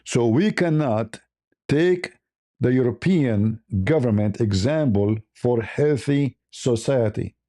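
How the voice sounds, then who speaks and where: A man's voice: mid-range voice, slow, dark tone. A man with an Arabic accent giving a blunt, off-the-cuff opinion monologue as a livestream host, repeating phrases for emphasis.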